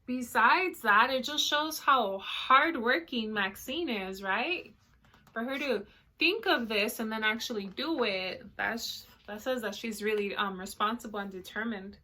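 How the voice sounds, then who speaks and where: A woman reading a picture book aloud to children in an animated way, pausing to explain words and ask questions. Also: fairly high pitch. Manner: animated, checks in with listeners, explains words simply. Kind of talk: expressive read-aloud with explanatory asides for children.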